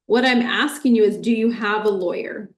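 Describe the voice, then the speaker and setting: An American woman speaking as a judge in court, formal, measured and firm as she addresses a party. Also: medium pitch. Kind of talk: unscripted courtroom ruling.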